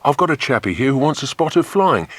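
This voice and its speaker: A man's voice: mid-range voice, sing-song, fast talker, no echo, voice pushed up. A man voicing a cheerful young male pilot, breezy, chummy and deferential, in a children's audiobook. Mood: breezy, polite. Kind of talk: dramatized children's audiobook dialogue.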